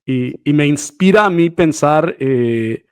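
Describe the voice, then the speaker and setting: The higher-voiced Mexican man you'd hear hosting a laid-back podcast, chatting with guests like old buddies in slang. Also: expressive, slow, a little mellow, smooth, no room sound, clean studio sound. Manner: familiar, slangy, buddy-like. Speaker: podcast host, interviewer. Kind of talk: casual conversational interview.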